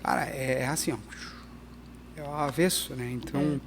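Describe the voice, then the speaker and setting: A middle-aged missionary pastor, talking animatedly as a guest in an interview, with frequent restarts. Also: male voice, medium pitch, moderate pace, slight background noise. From Peru and Brazil. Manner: animated, emphatic, restarts often. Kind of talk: conversational interview.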